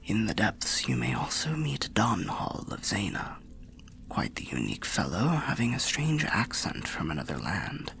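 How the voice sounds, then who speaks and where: Casting: male performer as ancient undead soul for fantasy roleplay; low-pitched, very animated intonation, softly whispered, genial and unhurried. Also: heavily acted. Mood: helpful, musing. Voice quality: low gravelly voice, half-whispered. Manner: unhurried, musing, archaic fantasy phrasing. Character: old undead soul, genial storyteller by a bonfire. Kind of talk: whispery ASMR roleplay narration.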